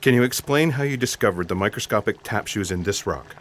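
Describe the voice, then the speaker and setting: Guy doing an earnest govt agent in a sci-fi comedy radio play, lowish voice, polite official questioning. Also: animated, fairly quick, fairly bright tone. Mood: inquisitive. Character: earnest, persistent government special agent, male. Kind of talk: comic audio drama acting.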